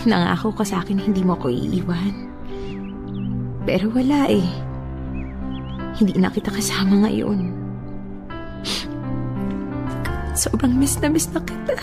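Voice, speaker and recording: Gentle, grieving young widow, Filipino accent, emotional radio drama delivery over clear background music. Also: female voice, a little high. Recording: music behind the voice.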